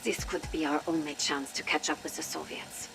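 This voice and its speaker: Low-voiced, determined woman operative. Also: fairly even intonation, moderate pace, creaky, a bit bright, slight background noise, rough recording. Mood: urgent, confiding. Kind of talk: video game audio log acting.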